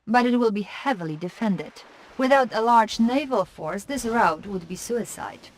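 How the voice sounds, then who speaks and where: An actress playing a composed female Soviet military intelligence officer, giving measured, formal mission briefings in a video game. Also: a little high, animated. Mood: businesslike. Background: music.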